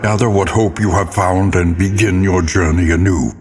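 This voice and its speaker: An actor playing a grave, brooding narrator of a gothic horror game, with solemn, theatrical, deliberate delivery. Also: male voice, low-pitched, steady tone, darkish tone, a little hiss or hum, close to an everyday voice. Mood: stern, foreboding. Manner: ominous, solemn, deliberate, ornate phrasing. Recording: long cavernous reverb.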